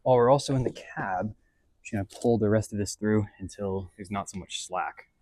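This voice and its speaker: A man's voice: fairly low pitch, fairly quick, darkish tone, dry recording. A man casually narrating his hands-on car install as a vlog host, thinking aloud in a laid-back, slangy way. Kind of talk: casual narration while working on a vehicle. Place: in and around a pickup truck.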